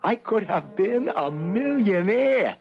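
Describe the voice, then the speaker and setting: An actor playing an excitable, nervous working man who gambles, in an old-time radio comedy drama with an agitated, emphatic delivery. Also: sits high, slow pace, heavily acted. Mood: nervous, regretful. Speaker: narrator and lead actor in radio play. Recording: noisy, muffled old radio broadcast recording.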